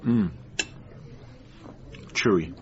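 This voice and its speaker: A man's voice: drawn-out words, darkish tone. An actor in a radio drama playing an earnest, touchy male hypnotherapist with an intent, insistent manner. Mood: defensive, irritated. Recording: dull lo-fi radio recording with music.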